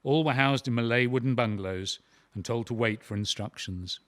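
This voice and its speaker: Man as stage-play narrator, serious, precise, mid-pitched, scripted documentary style. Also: normal speed, close to an everyday voice. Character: serious male narrator of a wartime history.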